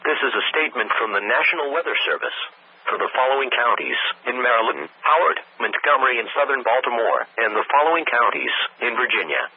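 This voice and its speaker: A man's voice: mid-range voice, husky, no room sound. Tinny synthetic male weather radio announcer. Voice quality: synthetic text-to-speech voice. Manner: measured, impersonal, segmented phrasing. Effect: weather radio broadcast. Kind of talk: scripted weather warning bulletin.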